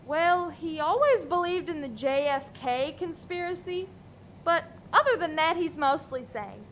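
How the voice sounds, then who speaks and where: Woman playing a very high-pitched, flippant suspect. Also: animated, unhurried, a bit bright, a little hiss or hum. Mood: matter-of-fact. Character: flippant, giggly female suspect with gleeful streak. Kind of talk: comedic radio play acting.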